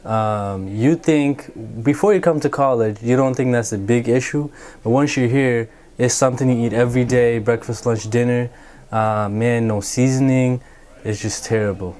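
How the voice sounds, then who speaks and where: Young man with a medium-pitched voice. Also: normal speed, darkish tone. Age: young adult. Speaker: university student. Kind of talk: casual interview answer.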